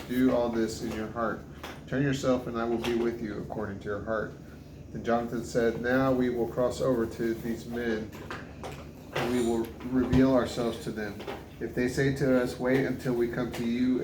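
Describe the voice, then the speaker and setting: A man reads scripture aloud plainly in a flat, even tone at a middle pitch. He occasionally stumbles. The room echoes and there is clear noise behind him. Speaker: scripture reader. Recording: roomy, noisy home recording.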